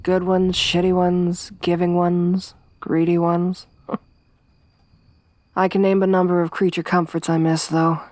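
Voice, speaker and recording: A young woman voicing a tough, tomboyish survivor companion in an ASMR roleplay, speaking softly with a wry, weary warmth. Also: fairly low pitch, level tone, a little slow, dry recording, lightly acted. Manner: soft-spoken, wry, quietly reflective.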